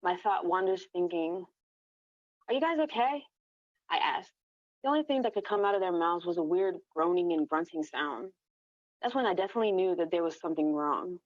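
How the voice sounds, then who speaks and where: A woman's voice: on the low side, brisk. A woman reading her own first-person story aloud as a narrator, in an echoey, muffled recording. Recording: echoey room, dull lo-fi sound.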